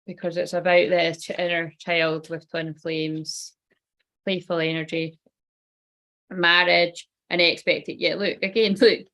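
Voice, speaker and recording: A woman's voice: on the low side, level tone, unhurried, fairly bright tone. A woman giving a casual tarot card reading. She rambles loosely and swears offhandedly.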